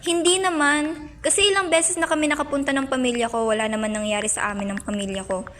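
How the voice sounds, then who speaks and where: Assertive, carefree young woman acted, high register, amateur radio drama, heavy reverb. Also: steady tone, fast, a little hiss or hum. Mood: breezy, assured. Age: young adult. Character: confident, carefree young woman, bossy among friends. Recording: long reverb under loud music.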